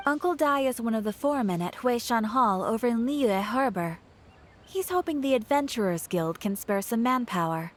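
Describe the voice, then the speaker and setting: A woman's voice: on the high side, animated, moderate pace, natural voice. A woman voicing a polite, composed guild clerk in a video game, courteous and businesslike. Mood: helpful.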